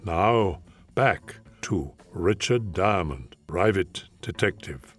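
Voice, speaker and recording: A man's voice: deep voice, animated, slow, soft background music. A man making scripted announcements as a radio show announcer.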